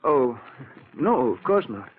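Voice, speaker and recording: An actor playing an anxious, desperate locksmith with an American accent and a hesitant, pleading way of talking, in 1940s radio style. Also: male voice, mid-range voice, very animated, takes it slowly, a little hiss or hum. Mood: reassuring, uneasy. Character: desperate, anxious male locksmith, devoted husband. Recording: muffled old 1940s radio recording.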